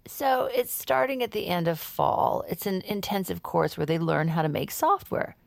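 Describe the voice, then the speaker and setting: A woman co-hosting a true crime podcast in an audio fiction. Her voice is low and creaky, recorded close and dry. She chats in an understated, casual, slightly hesitant way. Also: expressive, normal speed, no echo. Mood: casual, modest.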